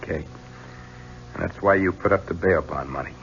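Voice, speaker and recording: An American actor playing a terse, brooding, guilt-laden ex-husband in a 1970s radio drama. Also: male voice, sits low, normal speed, a bit bright. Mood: bitter, suspicious. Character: bitter, guilt-laden ex-husband accused in murder plot. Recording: dull, noisy old radio broadcast.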